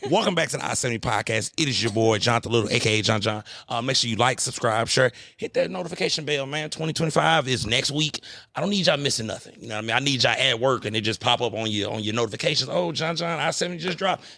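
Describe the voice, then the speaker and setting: A man hosts a podcast and talks quickly, with a gravelly, bright edge to his voice. He chats casually in slang and keeps hyping up his guest. The sound is dry and close. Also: medium pitch, dry recording. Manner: laid-back, slangy, hypes up his guest. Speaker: podcast host. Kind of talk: casual chat. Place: cigar lounge.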